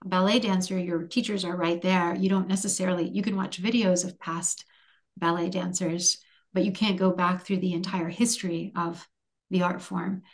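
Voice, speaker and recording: A woman in her forties whose first language is Hungarian, answering interview questions thoughtfully over a video call from a room at home, with many pauses and restarts. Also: fairly low pitch, level tone, creaky. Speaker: author guest.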